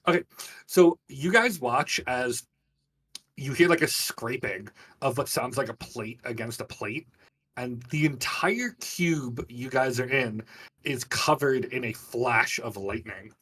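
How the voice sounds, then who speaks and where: Male game master, mid-pitched, conversational and a bit halting, improvising fantasy scenes. Sounds slightly off-mic. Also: fairly bright tone, breathy edge, recorded from a distance. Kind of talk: narrating an online tabletop fantasy game.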